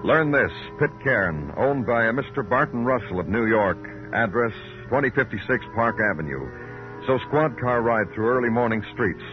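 An actor playing a weary, brooding American police detective in a dramatic old radio crime drama, speaking in clipped, poetic phrases. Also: male voice, mid-range voice, expressive, normal speed, lightly acted. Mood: weary, descriptive. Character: weary, brooding male police detective narrating his case. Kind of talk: 1940s-50s radio crime drama acting. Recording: dull old radio recording, loud music.

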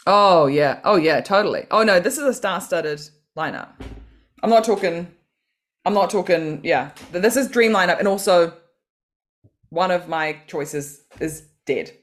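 A woman's voice: on the low side, expressive, a little slow. A woman from New Zealand, a comedian and podcast guest, talking in a chatty, self-deprecating way and laughing often. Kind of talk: casual podcast interview.

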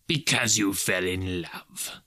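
A stern old miser from a storybook, acted by a male narrator, bitter and indignant. He growls his scorn with big swings of pitch, in a dry, close recording. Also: animated, normal speed, sharp tone, no room sound. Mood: sardonic.

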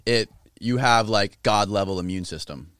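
A man's voice: fairly low pitch, moderate pace, fairly bright tone, a little hiss or hum. A man interviewing a guest in an easygoing, casual way. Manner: easygoing, short backchannel replies.

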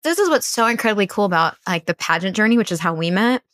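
A woman's voice: mid-range voice, expressive, fast, fairly bright tone, no echo, clean studio sound. An American woman speaking as a mental coach, giving earnest, encouraging advice in a conversational style. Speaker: mental coach, podcast guest.

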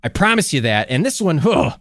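A man's voice: fairly high pitch, big pitch swings, a little fast, fairly bright tone, no echo, clean studio sound. A man with a Chicago accent co-hosting a podcast in a rambling, joking way. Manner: jokey, rambling, self-interrupting. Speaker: podcast co-host. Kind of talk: casual comedic chat.

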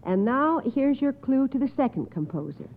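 A woman's voice: high voice, very animated, normal speed, a little hiss or hum. A woman hosting an old radio quiz show in a courteous, schoolteacherly manner with precise enunciation, affirming contestants. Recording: muffled phone-like old radio recording.

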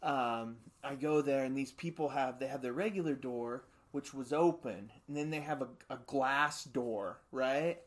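A middle-aged man with a high-pitched voice, telling animated stories in a casual chat. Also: a little slow, few pauses. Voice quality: high-pitched voice for his size. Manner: animated storyteller, acts out quotes with laughs.

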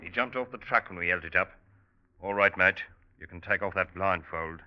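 An actor in an old-time radio drama playing a gruff hired hijacker who speaks in blunt, curt orders. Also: male voice, sits low, normal speed, lightly acted. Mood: businesslike. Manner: blunt, commanding. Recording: dull old recording with clear noise.

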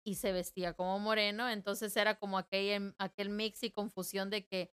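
A woman from El Salvador telling stories in a relaxed podcast chat. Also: medium pitch, normal speed, clear, resonant tone. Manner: storytelling, talkative. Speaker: guest. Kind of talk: casual chat.

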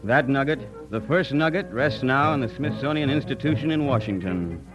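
A composed American merchant played by a male radio actor tells his story in a mid-pitched voice over clear music. His delivery is measured scripted narration, like vintage radio drama. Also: expressive, dull audio, lightly acted. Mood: earnest.

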